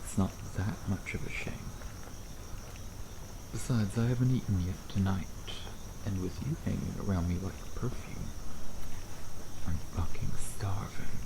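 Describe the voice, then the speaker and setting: A man playing a sardonic, teasing vampire in an intimate ASMR roleplay, with a low, gravelly voice and an unhurried, predatory manner. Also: background noise. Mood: hungry, teasing. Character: teasing, sardonic male vampire, a century old, youthful predator.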